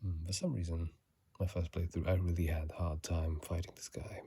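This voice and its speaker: A hushed ASMR host whispers right into a phone mic. The voice is extremely breathy, with a crackly fry underneath and a soft, dark tone. The delivery is unhurried, moving between reading and casual commentary. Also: deep voice, level tone. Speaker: video creator and host. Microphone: phone mic held close.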